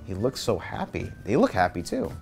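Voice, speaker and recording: A man reading a children's picture book aloud as an expressive storyteller. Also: lowish voice, normal speed, creaky. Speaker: storyteller, host. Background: background music.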